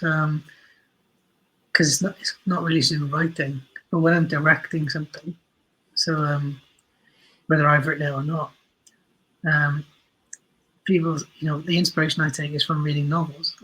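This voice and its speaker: A male podcast guest talks casually and reflectively. His voice sits a little high for a man and moves within a narrow range. He is earnest, often restarting a phrase as he thinks. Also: level tone, normal speed, a bit bright, breathy edge. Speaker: podcast guest, writer and director.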